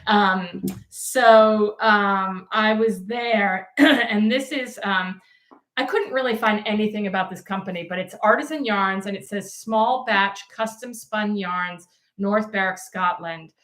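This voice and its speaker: A woman's voice: medium pitch, slow, fairly bright tone. Chatty woman in an echoey room. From United States. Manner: chatty, rambling with many ums and restarts. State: losing her voice. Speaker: podcast co-host. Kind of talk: casual chat.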